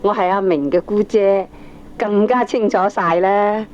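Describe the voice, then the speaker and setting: A woman's voice: mid-range voice, a little slow, a little mellow, close to an everyday voice. Lady acting as bossy hong kong auntie giving a lecture, radio play, dull tape with faint noise. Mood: preachy, assured. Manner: lecturing, emphatic. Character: opinionated aunt, firm, preachy family adviser. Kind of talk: Cantonese radio drama acting. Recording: dull, slightly noisy old cassette recording.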